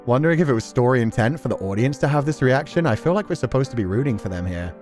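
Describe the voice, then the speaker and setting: A man's voice: mid-range voice, animated, fast talker, darkish tone. Casual male streamer over background music. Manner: informal, emphatic, conversational with slangy asides. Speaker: streamer reacting to a story game. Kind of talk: casual rambling commentary, reading and answering chat.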